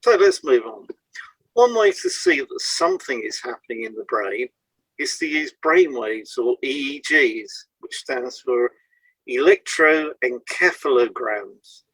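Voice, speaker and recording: A man's voice: medium pitch, very animated, a bit bright, breathy edge, no echo. Man reading explanatory material, muffled dry sound. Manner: measured, explanatory, lists items one by one. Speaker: podcast presenter and hypnotherapist. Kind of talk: scripted educational narration.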